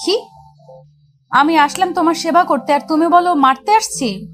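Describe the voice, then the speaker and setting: A woman's voice: extremely high pitch, moderate pace, faint music behind. A woman playing a caring, chiding young college girl in an audio love story, with a Bangladeshi accent. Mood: hurt scolding. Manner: chiding, affectionate.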